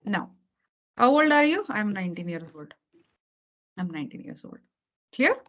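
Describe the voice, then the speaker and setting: A woman's voice: medium pitch, sing-song, moderate pace, fairly bright tone. Patient woman teaching code on a call. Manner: patient, repeats key points, checks understanding with okay and right. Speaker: programming teacher. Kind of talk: live coding lesson over video call. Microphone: video call. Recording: dull lo-fi call audio.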